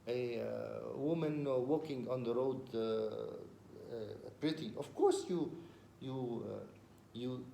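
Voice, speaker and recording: A man's voice: medium pitch, takes it slowly, vocal fry, dark tone, echoey room, a little hiss or hum. A man from the Levant explaining a recorded talk in casual conversation, earnest and hesitant, repeating phrases as he searches for words.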